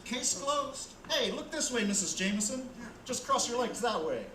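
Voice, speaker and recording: Radio play: snappy, pushy newsman, lively delivery, distant and echoey. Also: very high-pitched, normal speed. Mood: pushy, excited. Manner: snappy, clipped headline phrases. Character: pushy, cynical, wisecracking newspaper reporter. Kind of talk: old-time radio drama acting. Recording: distant, echoey, noisy stage.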